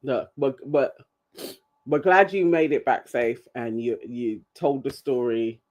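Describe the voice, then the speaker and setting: A man's voice: medium pitch, unhurried, highs cut off. A man co-hosting a casual live stream chat, laughing often and talking with animation. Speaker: co-host.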